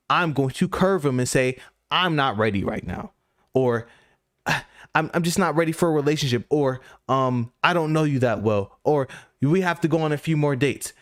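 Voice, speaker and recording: A man's voice: fairly high pitch, no room sound. A man with a slightly raised voice talks bluntly and lectures in slang, as if scolding. The sound is dry and close, with no room around him. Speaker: reaction commentator. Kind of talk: commentary over interview clips.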